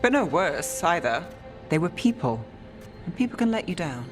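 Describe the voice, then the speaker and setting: Someone playing calm wry fantasy companion, mid pitch, terse, faint music. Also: moderate pace, lightly acted. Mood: measured. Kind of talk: fantasy video game dialogue.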